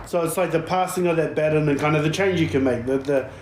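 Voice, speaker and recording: A man hosting a podcast, asking questions in a relaxed, encouraging way. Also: on the high side, fast. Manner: laid-back, encouraging, backchannels a lot. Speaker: podcast host. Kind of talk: casual interview. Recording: echoey room with faint noise.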